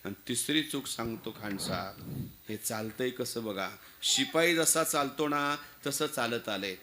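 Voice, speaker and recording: Man playing a shrewd envoy, bright voice. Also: medium pitch, measured pace, slight background noise. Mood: assured, exacting. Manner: explanatory, point by point. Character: shrewd, observant envoy of a khan, methodically listing mistakes.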